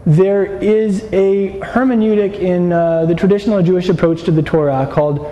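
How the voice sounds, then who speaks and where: A man with a slightly raised yet mellow voice teaches a class, explaining patiently and putting questions to his listeners. His voice carries the long echo of a hall, over a faint hum of room noise. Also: high-pitched, steady tone, moderate pace, highs cut off. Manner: explanatory, asks the class questions, frequent restarts. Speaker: Bible teacher.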